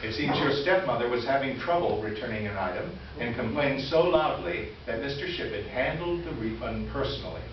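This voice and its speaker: A man playing an earnest, wronged store employee near retirement, reading a formal confessional letter in reader's theater with deliberate delivery. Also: medium pitch, lively intonation, close to an everyday voice. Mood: earnest, aggrieved. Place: indoor live stage presentation. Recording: echoey room, distant mic, muffled, noisy.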